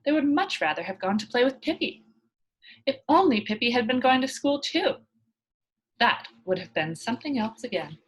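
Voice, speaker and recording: A woman reading a children's story aloud in an expressive narrating style. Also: a little high, moderate pace, slightly rough, rough recording. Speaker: storybook reader.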